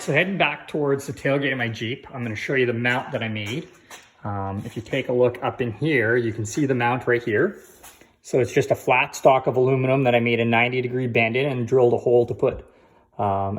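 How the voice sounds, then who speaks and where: A man giving a casual, hands-on how-to walkthrough as a vlogger, in an informal way with conversational asides. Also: medium pitch.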